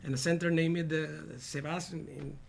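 A man's voice: on the high side, fairly even intonation, brisk, vocal fry. A Venezuelan man, a songwriter guest, explaining earnestly and stumbling over his words in casual chat.

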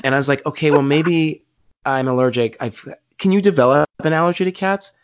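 A man's voice: on the high side, fairly quick, clear, resonant tone. A man hosting a podcast in casual chat, a little congested and scratchy, with playful self-deprecating banter. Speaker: podcast host. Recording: slightly dull lo-fi sound.

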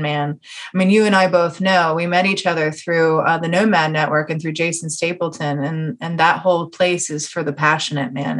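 Low-pitched woman, casual podcast host. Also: fairly bright tone. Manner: casual, informal phrasing with "man" and "you know". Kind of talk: casual conversational interview.

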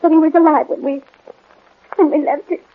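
A guilt-ridden, vengeful woman played by an actress in a 1940s radio melodrama. Her high voice confesses in halting, emotional phrases, through the narrow, muffled sound of an old broadcast. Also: fairly even intonation, close to an everyday voice. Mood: distraught, confessing. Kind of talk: 1940s radio crime drama acting.

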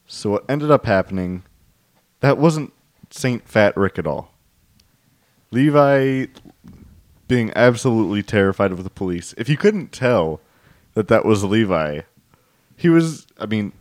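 A man hosting an improvised comedy podcast, talking quickly with bursts of laughter and mock alarm. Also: fairly low pitch, lively intonation, no room sound. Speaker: podcast host.

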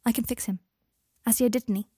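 A woman's voice: a little high, fairly even intonation, quick pace, dark tone, slightly rough, dry recording, studio quality. A woman voicing a blunt, awkward man who is defensive and plain-spoken with his old rival. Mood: calm, awkward.

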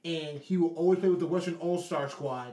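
A man co-hosting a casual podcast, halting and repeating words as he works toward his point. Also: a little high, mellow. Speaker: podcast co-host. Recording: echoey room.

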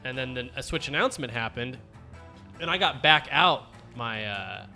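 Male podcast host, casual and jokey, lively bright delivery with faint music under. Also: medium pitch, creaky. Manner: animated, laughs easily, quick asides. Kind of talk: casual chat.

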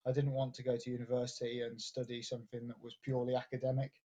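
A man's voice: mid-range voice, monotone, quick pace, dark tone, husky. A man, chatting casually as an interview guest. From Leicestershire, England. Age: 20s. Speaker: interview guest, business graduate. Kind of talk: casual chat.

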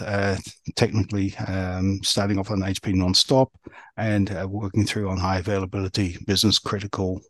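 Man, mixed New Zealand accent, low pitch, halting conversational delivery, podcast guest, dry close sound. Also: no room sound. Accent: New Zealand, mixed by years abroad. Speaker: podcast guest, resilience professional. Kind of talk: conversational interview answers.

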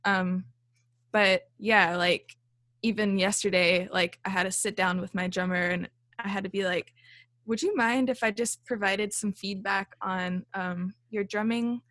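A woman's voice: mid-range voice, steady tone, measured pace, a bit bright, dry recording. A young woman who leads a band, chatting casually in an interview with a small, light voice, lots of fillers and frequent laughs. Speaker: band leader and songwriter.

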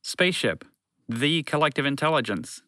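A man voicing a calm, decisive moose who gives brief orders in a children's story. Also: fairly high pitch, normal speed, no echo, studio quality, pitched above the performer's own voice. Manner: brief, commanding.

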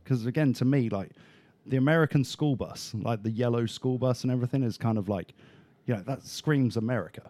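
A man's voice: mid-range voice, brisk, no echo. An English man with a British accent and some Australian turns of phrase, chatting casually as an interview guest and talking through plans with lots of fillers. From England. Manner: relaxed and chatty, many fillers, sometimes a rising inflection.

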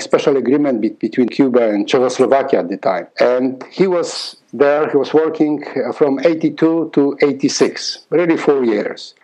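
A man's voice: medium pitch, warm tone, poor audio. A middle-aged man from Poland with a Polish accent, telling a long story in an interview, often repeating words and acting out dialogue. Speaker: conductor and music teacher.

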